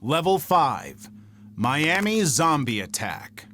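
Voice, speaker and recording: A man reading scripted true-crime documentary narration in a dramatic, measured storytelling manner. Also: fairly high pitch, big pitch swings, noisy background. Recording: faint music bed under narration. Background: background music.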